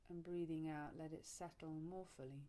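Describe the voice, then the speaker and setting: A woman leading a guided relaxation meditation, soothing and unhurried, speaking in short phrases with long pauses. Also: sits low, steady tone, dark tone. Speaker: yoga nidra teacher, meditation guide.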